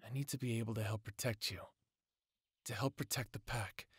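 A man's voice: mid-range voice, fairly even intonation, fairly quick, fairly bright tone, husky, dry recording, clean studio sound. A man playing an earnest lone male werewolf who longs for family, speaking sincerely in an audio drama.

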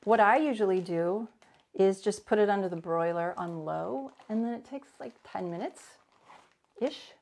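A woman's voice: mid-range voice, unhurried, creaky, darkish tone. A woman in her forties teaching a live cooking demo from her home kitchen, chatting and greeting viewers by name as she works. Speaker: cooking instructor.

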